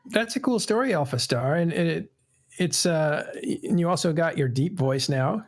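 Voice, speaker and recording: Gravelly man hosting a panel chat. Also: a little high, lively intonation, normal speed. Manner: rambling, self-correcting. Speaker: podcast host.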